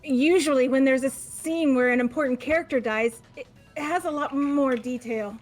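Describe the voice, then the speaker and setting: Woman as a high, stammering bookish schoolgirl. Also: lightly acted. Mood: anxious, suspicious. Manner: halting, stammering. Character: nervous, gloomy, sharp-tongued teenage schoolgirl bookworm. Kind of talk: fan-dubbed video game character acting. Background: game music.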